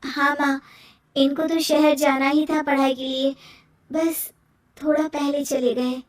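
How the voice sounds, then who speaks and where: Woman voicing a very high, sweet young bride. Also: level tone, slow pace. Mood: gentle. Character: gentle, dutiful young village bride, sweet and brave. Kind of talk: animated moral story dialogue. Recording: roomy echo, distant from mic.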